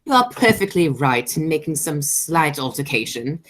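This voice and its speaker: A woman playing an imperious, pompous aristocratic matron in an amateur radio play, speaking in a formal, deliberate way. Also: low voice, sing-song, fairly bright tone, exaggerated voice. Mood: measured condescension. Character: haughty, imperious aristocratic matron Lady Bracknell, coolly disapproving.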